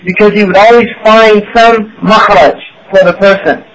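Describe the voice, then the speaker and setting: A man's voice: high voice, steady tone, normal speed, a bit bright, a little hiss or hum, rough recording. A man giving a religious lecture to an audience, asking rhetorical questions and checking in with "right?". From United States. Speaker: Islamic teacher.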